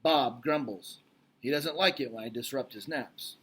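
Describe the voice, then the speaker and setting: A man's voice: medium pitch, moderate pace, fairly bright tone, breathy edge. A man reading a children's storybook aloud as narrator. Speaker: read-aloud reader.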